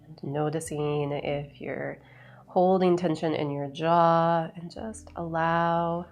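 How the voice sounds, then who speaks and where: A woman leading a guided meditation in a soothing, unhurried way, with measured phrases and long pauses. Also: sits low, steady tone. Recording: voice over background music. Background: background music.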